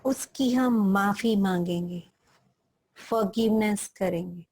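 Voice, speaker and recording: A woman with an Indian accent leading a guided meditation, speaking deliberately in short phrases with long pauses between them. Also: medium pitch, darkish tone.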